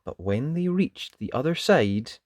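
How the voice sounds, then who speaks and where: A storyteller reading a children's picture book aloud in an expressive, dramatic way. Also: medium pitch, a little slow, dry recording. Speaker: storybook reader. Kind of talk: animated children's read-aloud narration.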